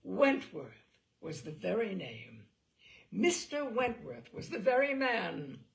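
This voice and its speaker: A woman's voice: mid-range voice, very animated, slow pace, vocal fry, full character voice. A woman voicing a fussy, talkative, deferential male lawyer in an audiobook of a classic novel, rambling eagerly and interrupting himself. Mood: delighted, relieved.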